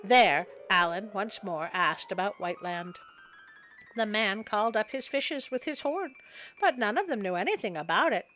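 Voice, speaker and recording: A woman's voice: mid-range voice, animated, moderate pace, a bit bright, faint music behind. A woman storyteller narrating a folktale expressively, with wry spoken asides. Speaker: storyteller, host.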